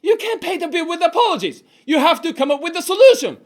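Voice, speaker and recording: A male storyteller doing a sharp-tongued wife who scolds and nags with exasperation, her voice high and swooping wildly. She sounds fed up with a drunken husband, every line pushed up and out. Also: very animated, fast talker. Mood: scolding. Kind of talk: one-performer comic storytelling.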